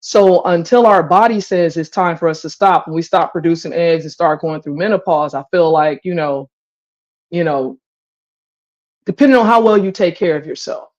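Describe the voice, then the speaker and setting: Woman, forties, opinionated, conversational, candid casual panel talk, muffled low-fidelity audio. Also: normal speed, darkish tone. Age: 40s. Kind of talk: candid casual open-forum chat.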